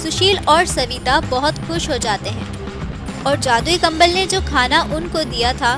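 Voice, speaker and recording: Female narrator for animated moral tales: very high voice, unhurried scripted reading over music. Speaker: story narrator. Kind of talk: scripted cartoon story narration.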